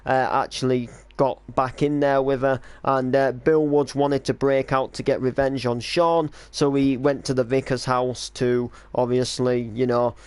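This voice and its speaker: Informal rambling man, smooth clear voice. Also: mid-range voice, level tone, measured pace, few pauses, darkish tone, no echo. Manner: informal, rambling, explanatory storytelling. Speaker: streamer, creator and voice actor of an online drama series. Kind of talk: casual reminiscing commentary on a stream.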